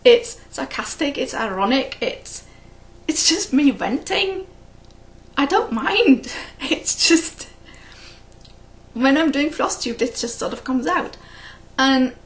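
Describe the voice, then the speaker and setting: Chatty woman with a gravelly voice. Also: high-pitched, expressive. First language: English. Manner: chatty, rambling, quick to laugh. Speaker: flosstube host. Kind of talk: casual chat to camera.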